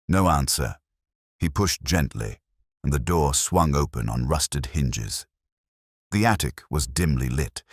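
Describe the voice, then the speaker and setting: A male audiobook narrator with a very low voice. He tells a detective story in a measured, dramatic way, with expressive swings in pitch. The sound is close and dry. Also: dry recording.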